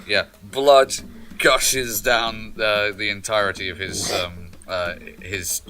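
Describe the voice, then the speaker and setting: A man's voice: lowish voice, fairly even intonation, takes it slowly. Hesitant man, music underneath. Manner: hesitant, full of ums and sort-ofs. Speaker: player. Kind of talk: casual tabletop play.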